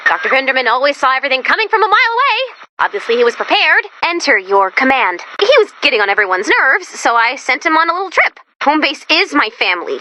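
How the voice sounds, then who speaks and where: Perky, scatterbrained young robot assistant for a video game: high, very animated delivery, chatty and earnest, easily defensive. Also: female voice, a little hiss or hum, full character voice. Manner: chatty, informal, expressive, awkward hesitations and emphatic asides.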